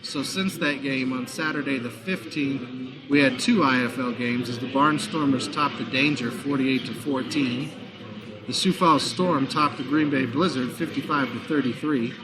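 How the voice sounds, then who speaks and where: A man with a hoarse voice hosting a live sports talk show, chatty and promotional, from a busy bar. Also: mid-range voice, moderate pace. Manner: chatty, promotional, frequent fillers. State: hoarse, recovering lost voice. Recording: noisy bar crowd behind. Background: crowd chatter.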